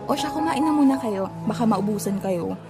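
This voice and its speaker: Young Filipino bride, warm, playful chatterer, slightly high, audio drama with loud music. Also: female voice, lively intonation, a little fast. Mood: teasing. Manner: playful, gossipy, casual.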